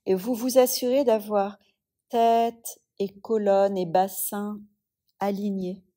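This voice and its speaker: A woman yoga teacher guiding a yoga nidra relaxation, speaking softly and soothingly with long pauses. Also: mid-range voice, level tone.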